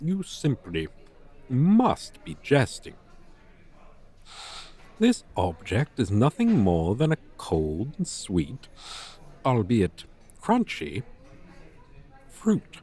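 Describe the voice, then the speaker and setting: A man's voice: medium pitch, huge pitch swings, drawn-out words, long pauses, dark tone, slightly rough, full character voice. A man voicing a proud, scornful dragon teacher in a roleplay, speaking in pompous, stilted, formal phrases. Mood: dismissive, haughty. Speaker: roleplay voice performer. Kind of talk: ASMR roleplay monologue, theatrical.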